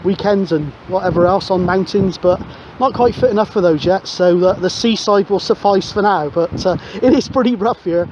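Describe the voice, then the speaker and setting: A man's voice: high voice, normal speed, a little mellow. A man chatting casually as a CB radio operator, matey and rambling, outdoors on a windy seashore. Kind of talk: casual radio chat and narration to camera. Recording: dull outdoor recording, clear wind noise. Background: wind and outdoor ambience.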